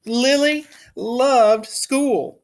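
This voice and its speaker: A man who works as a teacher, reading a children's story aloud in an expressive, emphatic way. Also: very high-pitched, drawn-out words. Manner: animated, emphatic.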